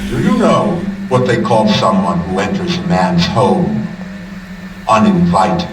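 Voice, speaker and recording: An actor playing a cold, authoritative male city founder who speaks in a measured, commanding way. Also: low voice, expressive, reverberant, far from the mic, over music, low-quality recording.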